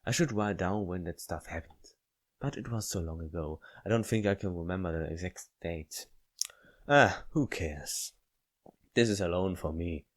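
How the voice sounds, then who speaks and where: A man's voice: low-pitched, moderate pace. A man reading a visual novel aloud and commenting on it, stumbling over words now and then. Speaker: video game player reading aloud.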